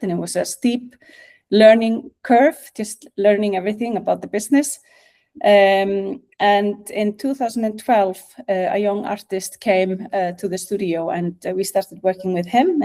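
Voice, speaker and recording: Middle-aged Icelandic female presenter, soft-edged mellow tone, informal online talk with halting delivery. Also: mid-range voice. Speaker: guest speaker, national music office director. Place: video call.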